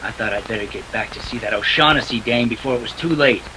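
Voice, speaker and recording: A man's voice: mid-range voice, quick pace, noisy background, close to an everyday voice. A man playing a tough, wisecracking private detective in a 1940s-style radio drama, clipped, sardonic and impatient. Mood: wry narrating. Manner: clipped, hard-boiled, sardonic.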